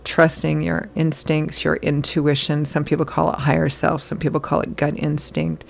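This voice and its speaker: A hoarse woman giving a casual tarot reading as host, chatty and reassuring, her voice still froggy from illness. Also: low voice, flat delivery, normal speed. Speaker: tarot reader.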